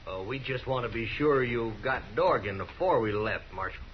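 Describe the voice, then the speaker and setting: A man's voice: mid-range voice, expressive, normal speed, natural voice. A man playing an insolent, needling Old West troublemaker in a 1950s radio drama. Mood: sly, insolent. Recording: muffled, noisy 1950s radio recording.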